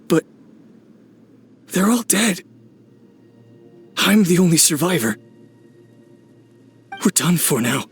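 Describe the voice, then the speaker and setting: Despairing male soldier, high-pitched, shaken, exhausted, fatalistic, video game. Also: breathy edge, heavily acted. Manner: desperate, fatalistic.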